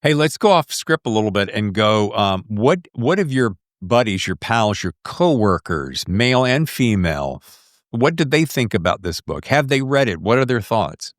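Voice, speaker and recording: A man's voice: on the low side, big pitch swings, moderate pace, no echo, clean studio sound. A middle-aged man hosting a podcast, chatting in an informal, jokey, salty-tongued way that wanders into tangents. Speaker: podcast host. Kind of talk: casual interview chat.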